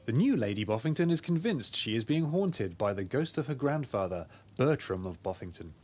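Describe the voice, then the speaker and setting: A man's voice: medium pitch, sing-song, moderate pace, darkish tone, soft background music, close to an everyday voice. A man playing a pig detective in a video game, laying out his deductions in an expository, storytelling way. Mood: explanatory. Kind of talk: scripted game dialogue.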